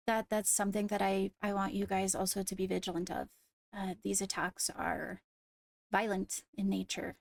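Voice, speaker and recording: A woman playing a poised royal ruler in an improvised game roleplay, speaking formally and carefully with pauses to find words. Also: mid-range voice, vocal fry, dry recording. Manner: measured, formal, hesitant between phrases. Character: poised female royal ruler addressing her court. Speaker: leader of the meeting.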